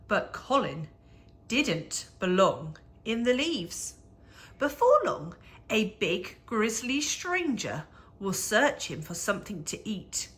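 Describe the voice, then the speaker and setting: Woman doing storytime for little kids, rhyming book, slow and expressive, bright forward voice. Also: mid-range voice. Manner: measured, animated storytelling with dramatic emphasis. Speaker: children's story reader. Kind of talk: expressive picture-book read-aloud in rhyming verse.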